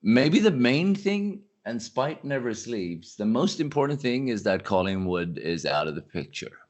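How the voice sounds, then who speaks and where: A man's voice: medium pitch, very animated, a little slow. A man speaking as an industry analyst guest on a podcast, talking casually and animatedly with frequent restarts.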